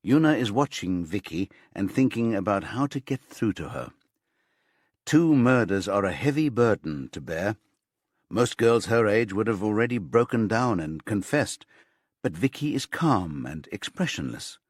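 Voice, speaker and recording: Male narrator, fairly deep, slightly raspy, expressive intonation, measured suspenseful thriller reading, dry studio-like sound. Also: no echo. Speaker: audiobook narrator. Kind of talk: dramatic scripted crime thriller narration.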